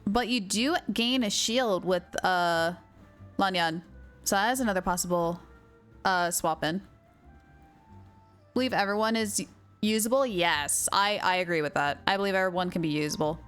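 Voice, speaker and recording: A woman's voice: lowish voice, moderate pace, pauses often, fairly bright tone, smooth. A woman game streamer chatting casually, thinking aloud as she reads and answers viewer comments. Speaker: game livestreamer. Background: faint game music.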